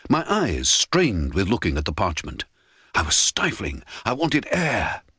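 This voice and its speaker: Expressive male storyteller, highly animated pitch. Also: measured pace, husky, dry recording, clean studio sound. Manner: expressive, theatrical. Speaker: narrator. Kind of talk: dramatic scripted story narration.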